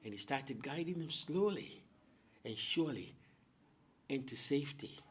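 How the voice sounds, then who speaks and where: A man telling a folktale as host, with theatrical, deliberate phrasing and dramatic pauses. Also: mid-range voice, lively intonation, breathy edge. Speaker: storyteller, host. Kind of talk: oral folktale storytelling to an audience.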